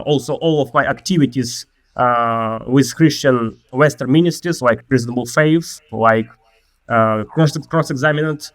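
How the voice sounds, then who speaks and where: Ukrainian-accented man, halting careful English. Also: mid-range voice, no echo. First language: not English. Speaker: guest, theology student. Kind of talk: personal account in an interview.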